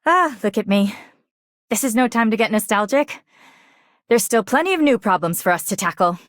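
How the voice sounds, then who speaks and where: A woman's voice: on the high side, lively intonation, moderate pace, dry recording, lightly acted. Woman as an earnest game team leader, dry. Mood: nostalgic, earnest. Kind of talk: video game character voice line.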